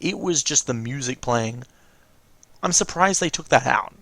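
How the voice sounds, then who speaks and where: A man's voice: mid-range voice, lively intonation, measured pace, gravelly, a bit bright, dry recording. A man delivers casual reaction commentary for a watch-along. He is chatty and fannish, talking informally as a commentator.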